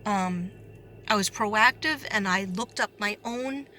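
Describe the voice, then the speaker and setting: A hoarse woman talking casually to her viewers in a rambling way, full of fillers and restarts. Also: mid-range voice, slow, pushed and bright, slight background noise. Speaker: psychic reader.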